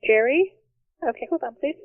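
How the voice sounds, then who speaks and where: A woman receptionist speaks in a high voice on a business call. She is polite and to the point. The phone sound is thin and dry. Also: expressive, normal speed, no room sound. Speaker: law office receptionist. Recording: tinny narrowband phone line.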